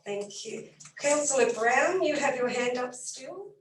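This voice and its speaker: A woman chairs a council meeting as mayor, moving through motions and votes in a brisk, businesslike way. Her slightly higher voice is a bit croaky. She sounds set back from the mic in an echoey room, with faint noise underneath. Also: expressive, recorded from a distance. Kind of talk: formal meeting procedure.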